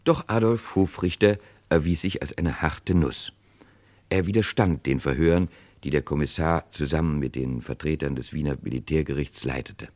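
A man narrating a scripted radio crime drama in a measured, sober manner. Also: a bass voice, darkish tone.

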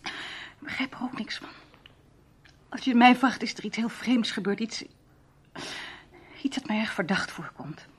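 A woman's voice: on the high side, lively intonation, unhurried, long pauses, breathy edge. An actress in a Dutch radio play playing an anxious, fragile woman who speaks hesitantly and repeats her words. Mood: troubled, anxious. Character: anxious, fragile stage actress, sister of a missing man.

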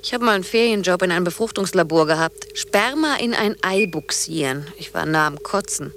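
Low-voiced, defiant, sardonic younger woman. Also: expressive, a little fast, a bit bright, a little hiss or hum. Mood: scornful. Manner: dry, dismissive, blunt. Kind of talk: German radio drama acting.

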